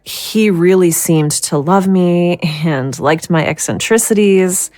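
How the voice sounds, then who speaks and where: A woman acting a fragile, troubled psychic in an audio drama, husky and hesitant in her speech. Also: on the low side, slow pace, darkish tone, no room sound. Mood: subdued, hesitant. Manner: hesitant, faltering. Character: distraught, fragile psychic woman at breaking point.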